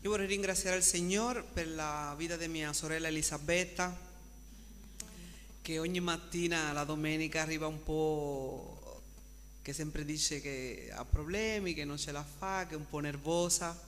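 Higher-pitched man testifying in an echoey hall. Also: steady tone, unhurried, fairly bright tone. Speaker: congregation member giving testimony. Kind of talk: spoken testimony and prayer responses. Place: church hall. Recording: echoey hall with background hum.

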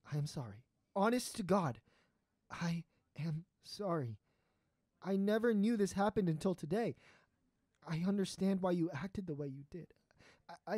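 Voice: medium pitch, darkish tone, dry recording, clean studio sound, natural voice. An actor playing an earnest, hesitant astronaut trying to win back an old friend in an audio drama.

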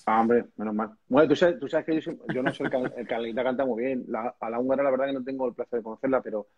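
A slightly husky man from banters on a home live stream. He teases his friend with mock-serious jabs and reads comments aloud. The audio is lo-fi and somewhat dull, as if through a phone or laptop. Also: medium pitch, fairly even intonation, quick pace. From Madrid, Spain. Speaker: percussionist, live stream co-host. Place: indoors, small room at home. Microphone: phone or laptop camera, video call.

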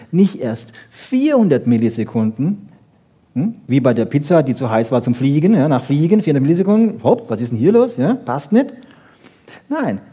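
Husky, animated male lecturer. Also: medium pitch. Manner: emphatic, animated, rhetorical questions and frequent tag "ja?". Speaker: lecturer in brain research. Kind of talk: lively spoken lecture to an audience, colloquial.

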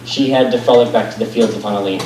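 Menacing, commanding warrior princess, played by a woman; extremely low, far from the mic. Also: fairly even intonation, rapid-fire, crowd noise. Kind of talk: amateur stage comedy acting. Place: school auditorium stage, filmed from audience. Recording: roomy far-off stage recording, clear noise.

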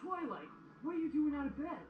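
A man's voice: very high voice, big pitch swings, a little fast, narrow, tinny audio. A man voicing an angry, protective older-brother pony in an audio drama, accusatory and confrontational. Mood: accusing. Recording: distant echoey speaker playback with clear noise.

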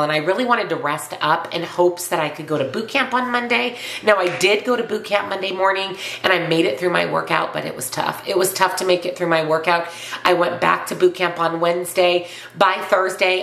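A woman's voice: fairly low pitch, gravelly, a bit bright, reverberant. A woman in her forties with a stuffy, congested voice from a cold, chatting upbeat to camera in a home office. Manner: chatty and upbeat, repeats herself. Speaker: weight loss vlogger. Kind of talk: casual vlog to camera.

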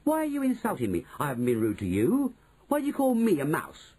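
Man putting on a high, blustering spoiled boy mouse. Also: huge pitch swings, fast talker, breathy edge, exaggerated voice. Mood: indignant. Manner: blustering, loud-mouthed, outraged exclamations. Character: loud, greedy, indignant spoiled boy turned mouse.

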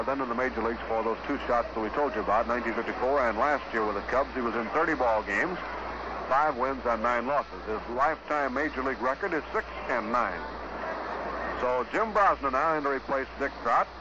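A man's voice: mid-range voice, dull audio. A man co-commentating baseball in 1950s radio style, reciting statistics in a matter-of-fact way. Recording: noisy, band-limited 1950s radio broadcast.

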